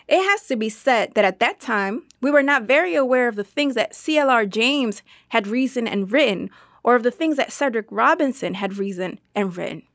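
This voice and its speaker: A woman reading a dubbed translation of an interview answer in an articulate, deliberate, formal way. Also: a little high, dry recording, clean studio sound. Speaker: voice-over translator dubbing an interview guest. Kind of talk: measured, read dubbed translation.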